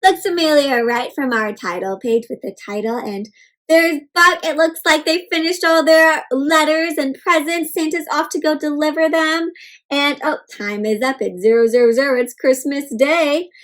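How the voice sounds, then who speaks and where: A woman's voice: sits high, normal speed, fairly bright tone. A woman hosting an interactive storybook read-aloud for kids, asking questions and explaining words simply. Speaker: children's read-aloud host, teacher.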